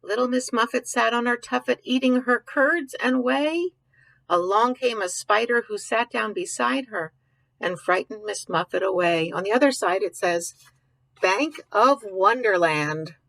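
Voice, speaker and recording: A woman's voice: a little high, unhurried, vocal fry, fairly bright tone, no echo, low-quality recording. A woman reading a picture book aloud to young children, stopping to ask questions, muse aloud and explain things simply. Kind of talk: read-aloud with informal commentary to young children.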